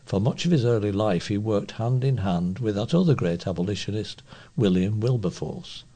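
A man with a British accent and a husky voice, reading feature articles aloud as a volunteer newsreader. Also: lowish voice, moderate pace, warm tone. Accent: British English.

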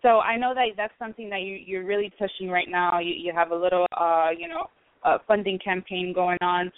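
A woman's voice: lowish voice, fairly even intonation, a little fast, dry recording. A woman hosting her own interview show, talking casually with many hesitations. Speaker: show host. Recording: dry tinny phone-like line.

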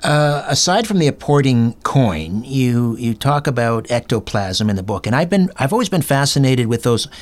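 A man hosting an interview, feeling his way into questions in a halting, conversational manner. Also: medium pitch, expressive. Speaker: interview host.

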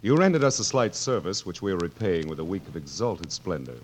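A gloating, menacing king in a 1940s radio drama. His deep voice slides up and down with sardonic relish, drawling in mock forgetfulness. Faint noise runs under the old broadcast. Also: big pitch swings, brisk. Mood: gloating, sly.

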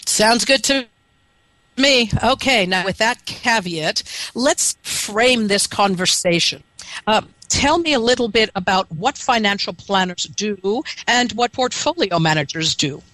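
Female host, scratchy but bright, dry close mic, brisk upbeat delivery. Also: medium pitch, expressive, no room sound. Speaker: radio show host. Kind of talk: hosting an interview.

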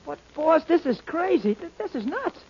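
An American actor playing a nervous, dim but loyal sidekick, stammering and slangy, in 1940s radio comic-relief style. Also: male voice, very high voice, very animated, moderate pace, a little mellow, full character voice. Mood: startled. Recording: dull noisy old radio recording.

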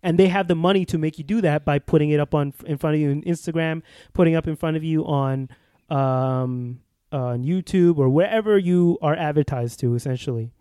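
A man's voice: on the high side, brisk, dark tone, smooth, no room sound, clean studio sound. A young man in casual conversation, earnest and thoughtful, restarting often and hedging with "like" and "I feel like". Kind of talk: casual chat.

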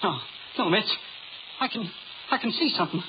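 High-pitched, whiny, jittery crook. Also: male voice, lively intonation, moderate pace. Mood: nervous, pleading. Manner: whining, pleading, slangy. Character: jittery, cowardly small-time crook, whining and suspicious. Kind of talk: 1930s radio drama acting. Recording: muffled, noisy 1930s radio recording.

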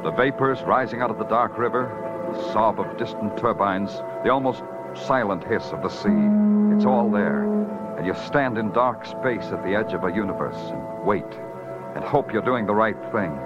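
An actor playing a weary, curt, hard-boiled New York police detective in a 1940s-style radio crime drama, terse and brooding. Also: male voice, lowish voice, lively intonation, normal speed, close to an everyday voice. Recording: dull old radio recording with music.

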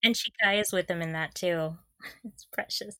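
Giggly young woman, bright close dry voice. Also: on the low side, moderate pace, no echo. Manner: giggly, playful, gushing. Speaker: podcast co-host. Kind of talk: casual fan discussion of audio dramas.

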